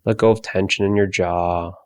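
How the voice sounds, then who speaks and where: Male meditation guide, low and level, unhurried delivery, dry close sound. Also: no room sound. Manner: slow, soft, calm instructions with long pauses.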